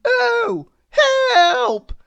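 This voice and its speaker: A woman's voice: extremely high pitch, very animated, extremely slow, vocal fry, a bit bright, pitched above the performer's own voice, exaggerated voice. A woman voicing a small, timid male worm from a children's storybook, plaintive and exclaiming. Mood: frightened, pleading.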